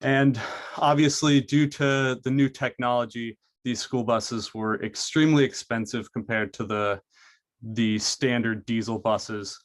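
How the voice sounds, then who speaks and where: A man's voice: medium pitch, steady tone, unhurried. Earnest young man presenting, many restarts. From Maine. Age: young adult. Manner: earnest, frequent fillers and restarts. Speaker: college student presenter. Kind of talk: prepared presentation.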